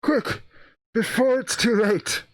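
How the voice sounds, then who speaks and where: A man voicing a terrified, despairing occultist who begs his friend to flee, in anguished, halting radio play melodrama. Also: extremely high pitch, steady tone, no echo, voice pushed up. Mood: panicked despair. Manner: anguished, halting, drawn-out cries.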